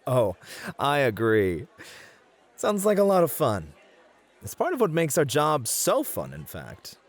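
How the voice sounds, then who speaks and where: A man's voice: medium pitch, wildly swooping pitch, a little slow. A man playing a suave, competitive club host who flirts and teases theatrically in an ASMR roleplay. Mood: fond, relaxed. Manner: smooth-talking, teasing, theatrical.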